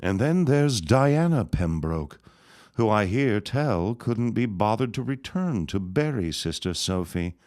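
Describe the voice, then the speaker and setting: An actor playing a scheming, vengeful elder reverend in a period radio drama, speaking in a pompous, florid, sneering manner. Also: male voice, on the low side, big pitch swings, unhurried, a little mellow, no room sound, clean studio sound. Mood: scheming, menacing.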